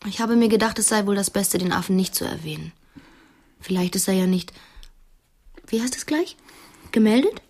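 An actress playing a strictly raised, quietly cunning wife who feigns naivety in a radio play, speaking hesitantly with pointed innocence. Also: female voice, mid-range voice, normal speed, pauses often, close to an everyday voice. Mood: feigned innocence. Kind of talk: radio play acting in dialogue.

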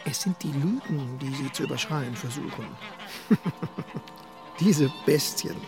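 A man's voice: medium pitch, slow pace, over music. An actor playing a bitter, scornful priest in a radio play, ranting theatrically about his flock. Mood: scornful, disgusted.

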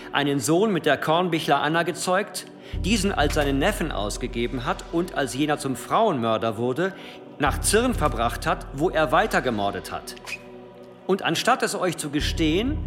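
An actor playing a cunning cathedral provost in a period radio drama, questioning in formal, insistent, rhetorical courtly language. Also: male voice, fairly high pitch, fairly bright tone. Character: cunning, relentless cathedral provost conducting an interrogation. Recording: long hall reverb, music underneath. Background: dramatic music.

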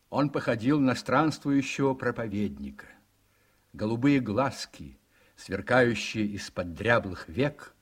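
An actor playing the narrator of a Russian radio drama, telling the story in a measured, literary way. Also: male voice, mid-range voice, slightly rough, exaggerated voice.

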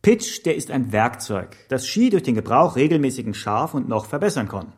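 Stilted business coach, radio play; animated intonation, husky mid-range voice, garbled jargon. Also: male voice, medium pitch, moderate pace. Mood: instructive. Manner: stilted, garbled machine-translated syntax, instructive. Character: management pitch coach, a man spouting stilted jargon. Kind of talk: instructional monologue in radio drama.

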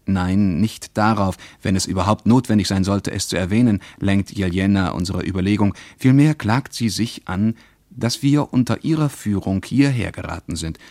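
A deep-voiced man delivers essayistic narration for a radio play. He phrases deliberately and rhetorically, leaning on key words. The recording is close and free of room sound. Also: a little mellow, no echo.

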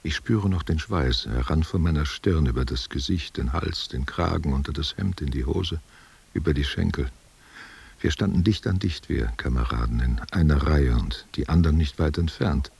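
An actor playing a guilt-haunted war veteran confessing to his lover in a halting, self-questioning monologue. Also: very deep voice, creaky, a little mellow, slightly rough, heavily acted. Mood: grim, remembering. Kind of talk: literary audio drama monologue.

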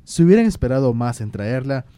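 A man voicing a reassuring, authoritative village doctor with a measured, clinical manner in a radio drama. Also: mid-range voice, very animated, warm tone, clear, resonant tone, lightly acted. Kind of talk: amateur radio drama acting.

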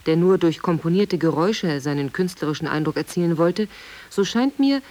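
Reflective 1930s radio director, read by a performer, middling pitch, measured quotation in a documentary. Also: a little mellow, lightly acted. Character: 1930s radio director quoted giving his considered view. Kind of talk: quoted reading in a radio documentary.

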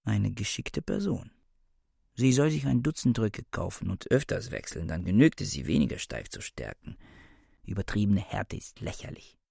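A disdainful, pedantic male scholar muses to himself in a radio play. The voice is low and husky with creak, and it moves in animated swings. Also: moderate pace, no echo, clean studio sound, heavily acted. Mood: critical musing. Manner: pedantic, judging. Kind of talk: interior monologue in a radio play.

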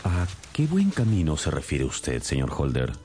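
A man's voice: rumbling low, huge pitch swings, moderate pace, mellow, full character voice. An actor playing a shrewd, composed male detective in a radio drama, with a probing, wry and theatrical manner. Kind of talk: Spanish-language radio drama acting. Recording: faint music under the voice.

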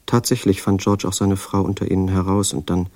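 An actor as a composed storyteller, delivering measured, suspenseful literary narration for an audio drama. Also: male voice, deep voice, little pitch movement, darkish tone, no echo, lightly acted. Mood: tense storytelling. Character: composed male storyteller narrating a madman's life.

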